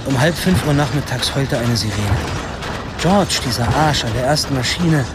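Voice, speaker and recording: A man's voice: mid-range voice, expressive, normal speed, heavy background noise, lightly acted. An actor playing a restless young worker who tells his own story as scripted radio drama narration. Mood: weary. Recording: loud music behind.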